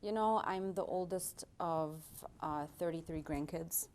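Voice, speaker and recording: A woman's voice: on the low side, measured pace, slight background noise. A woman in her forties, a guest on an interview show, talking earnestly with frequent fillers. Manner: warm and earnest, with many fillers. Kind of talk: casual interview talk.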